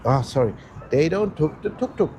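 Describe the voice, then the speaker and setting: Homem português de cinquenta e poucos anos, guia de tuk-tuk, sotaque de quem viveu na Alemanha, voz quente, rua com ruído leve. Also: male voice, fairly high pitch, lively intonation, dark tone, a little hiss or hum. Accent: Portuguese with German influence. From Germany. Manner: halting, repeats words, friendly. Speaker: tuk-tuk tour guide. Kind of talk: casual chat. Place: outdoors on a Lisbon street.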